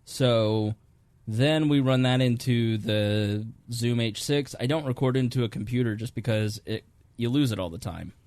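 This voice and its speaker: A man hosts a podcast from a home studio, speaking into a close studio microphone. His voice sits at an ordinary middle pitch, and the sound is dry and close. He rambles a little, with restarts and self-deprecating asides as he walks through gear. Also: normal speed, a little mellow, dry recording. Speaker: podcast host. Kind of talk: casual studio chat. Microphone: studio dynamic microphone.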